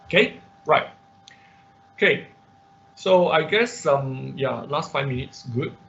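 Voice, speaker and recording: A dull, slightly muffled online-class recording of a man with a medium-pitched voice. He teaches informally, step by step, halting and repeating himself, often tagging on a short question to check understanding. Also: lively intonation, a bit bright. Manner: halting, repetitive explaining with frequent tag questions. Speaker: instructor of an online robotics class. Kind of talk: informal live tutorial with screen demonstration.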